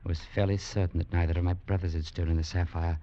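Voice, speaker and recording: An actor playing an earnest young gentleman, narrating in a measured storytelling manner in an old radio drama. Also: sits low, little pitch movement, a little hiss or hum, lightly acted. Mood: grave. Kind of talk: 1940s radio acting. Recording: lo-fi radio recording with music.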